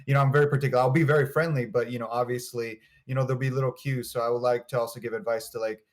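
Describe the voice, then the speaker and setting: A man's voice: mid-range voice, steady tone, racing. A man, a community director guest, talking earnestly in a casual chat. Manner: earnest, organized, chatty.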